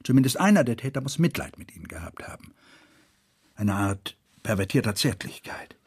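A man's voice: lowish voice, sing-song, a little fast, a little mellow. An actor playing a troubled, sleepless male murder investigator who broods and thinks aloud in a radio drama. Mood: brooding, weary. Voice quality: husky.

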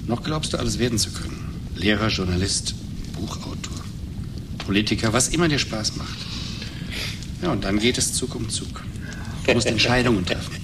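An actor playing a disillusioned, philosophical middle-aged bookseller in a German radio drama, speaking in an earnest, reflective way. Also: male voice, lowish voice, moderate pace, long pauses, noisy background, natural voice. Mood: brooding, wistful.

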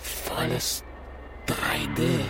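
A man's voice: very slow, heavy background noise. Brash, flirty gamer guy. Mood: flirtatious, insistent. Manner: slangy, casual, brash. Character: cool, flirtatious male gamer behind an online avatar. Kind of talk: scripted radio drama acting. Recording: music behind the voice.

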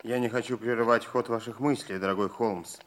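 An actor playing a polite, formal gentleman companion to a detective in a Russian radio drama. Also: mid-range voice, fairly even intonation, fairly quick, slight background noise, close to an everyday voice. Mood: politely curious. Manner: formal, courteous. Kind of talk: Russian radio play acting.

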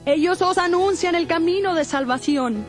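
Casting: possessed slave girl, frenzied cries, extremely high voice, biblical audio drama. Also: normal speed, soft background music. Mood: frenzied proclaiming. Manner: crying out. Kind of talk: dramatized Bible acting.

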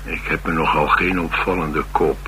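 An actor with a Netherlands Dutch accent playing a troubled, blunt ex-soldier and restless drifter in a radio drama, wry and halting in delivery. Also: male voice, very deep voice, fairly even intonation, sharp tone, low-quality recording. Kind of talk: radio drama dialogue acting. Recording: radio drama with clear hiss and hum.

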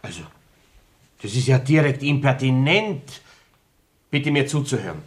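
An actor playing an indignant, pompous republican pub regular in a radio play, with declamatory phrasing. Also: male voice, medium pitch, lively intonation, measured pace, close to an everyday voice. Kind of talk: stage-like radio play acting.

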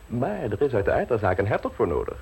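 An actor playing a smooth, self-assured scheming secretary in a radio play, teasing and unflappable, with a husky voice. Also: male voice, mid-range voice, sing-song, fast, darkish tone, full character voice. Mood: suave, scheming. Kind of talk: radio play acting in Dutch.

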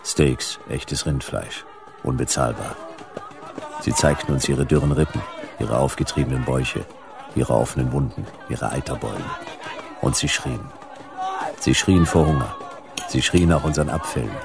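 An actor playing a laconic, world-weary private detective in a dystopian radio drama, speaking dryly with a gravelly voice. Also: male voice, a bass voice, normal speed, pauses often, a crowd in the background. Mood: grim, weary. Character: hard-boiled, sardonic male private detective in dystopian future. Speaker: narrator and lead character. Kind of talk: noir radio drama narration. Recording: music behind the voice.

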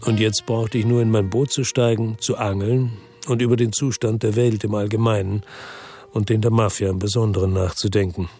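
Weary ex-cop, radio crime drama narrator, low-pitched, rough husky tone, laconic, sober. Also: male voice, little pitch movement, normal speed, dark tone, soft background music. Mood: weary, reflective. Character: world-weary male ex-policeman from Marseille, narrating his murder case. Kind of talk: first-person radio drama narration and dialogue.

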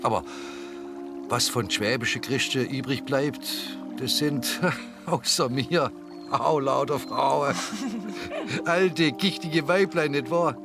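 A man's voice: mid-range voice, slow pace, over music. An actor playing an old, folksy, teasing Swabian man in a radio drama. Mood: folksy amusement. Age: older. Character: old Swabian-speaking man in Georgia, chatty and chuckling.

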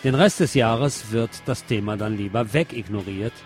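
A man's voice: lowish voice, moderate pace, soft background music. A man presenting scripted news commentary as the host of a show.

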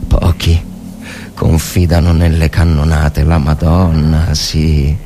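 A man's voice: a bass voice, little pitch movement, takes it slowly, dark tone. A man reading a literary war story aloud as a dramatic narration. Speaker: literary reader. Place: radio reading with ambience behind. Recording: clear background ambient noise.

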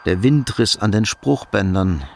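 A man narrating a radio drama in a sober, measured, literary storytelling style. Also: on the low side, creaky, slight background noise.

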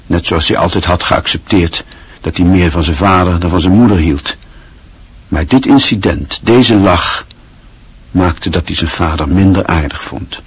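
A man narrating a radio play in a measured, even storytelling manner. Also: low voice, breathy edge.

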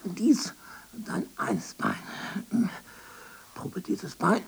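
Frantic husky mutterer in halting bursts. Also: female voice, medium pitch, wildly swooping pitch, heavily acted. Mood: effortful. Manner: halting, repetitive, clipped telegraphic phrases, often whispering. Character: frantic, obsessive archive dweller muttering broken commands to a comrade. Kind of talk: avant-garde radio drama acting.

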